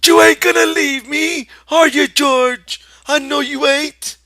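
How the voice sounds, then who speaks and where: Childlike giant farmhand, high strained wail, pleading, crisp dry sound. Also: male voice, steady tone, slow, pushed and bright, no echo, heavily acted. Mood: frantic.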